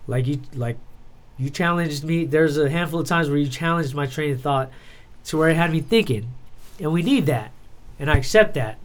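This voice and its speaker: A man's voice: fairly high pitch, expressive, moderate pace, hardly any pauses. A man hosting a podcast in a loose, informal chat style, with slangy, sweary talk. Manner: informal, frequent "dude" and "you know what I mean". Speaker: podcast host.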